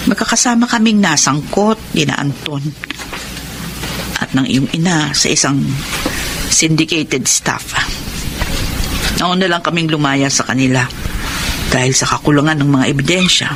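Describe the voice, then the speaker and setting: Higher-voiced, sorrowful devoted parent. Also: male voice, a little slow, long pauses, background noise. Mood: somber, confessing. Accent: Filipino. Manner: earnest, sentimental. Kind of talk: Filipino radio melodrama acting. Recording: music under the voice.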